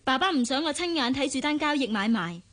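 An actress with a Hong Kong Cantonese accent playing an earnest, principled young bride-to-be who speaks candidly in a radio drama. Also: female voice, high voice, expressive, a little fast, fairly bright tone. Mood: resentful. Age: young adult.

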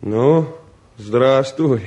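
A young provincial fellow for a radio drama, mid-pitched and warm-toned. He is shy yet stubborn, with blunt, homely, slightly awkward speech. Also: drawn-out words. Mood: awkward, guarded. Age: young adult. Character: shy, stubborn, plain-spoken provincial youth from Siberia. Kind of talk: 1950s radio play acting.

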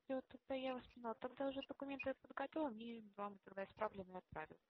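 Very quick businesslike woman on the phone. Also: fairly high pitch, dry recording. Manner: businesslike, brisk. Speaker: university enrollment specialist. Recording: dull phone call, faint noise.

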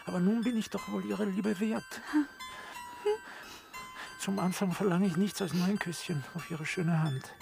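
An actor playing a wheedling, easily offended village bailiff in a classical German comedy radio play, halting and oily in delivery. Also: mid-range voice, vocal fry.

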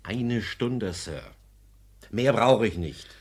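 A man's voice: fairly low pitch, animated, slow pace, slightly rough, heavily acted. A male actor in a German crime radio play, playing a firm, matter-of-fact investigator with an authoritative manner. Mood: insistent, reasoned. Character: brisk, authoritative male investigator loyal to his men.

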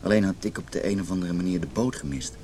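A very low-pitched, brooding man played by a male actor in a radio play. He is wounded and intense, speaking as someone whose marriage is failing. There is light noise on the recording. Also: quick pace, warm tone, lightly acted. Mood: bitter, reflective. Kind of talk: Dutch radio drama acting.

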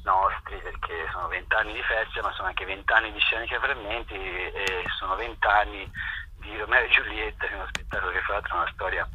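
A middle-aged man giving halting, reflective, spontaneous interview answers as a festival director, often repeating words. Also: on the low side, fairly even intonation, pushed and bright, slight background noise, poor audio.

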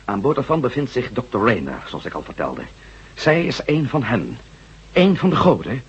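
A hot-headed ex-astronaut hero in old sci-fi radio play, really husky, swings up and down, muffled sound. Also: male voice, medium pitch, wildly swooping pitch, normal speed, heavily acted. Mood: determined, urgent. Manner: emphatic, swearing, argumentative. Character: determined, hot-tempered male ex-astronaut adventurer laying out plans. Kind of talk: science fiction radio drama acting. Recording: dull lo-fi radio drama recording.

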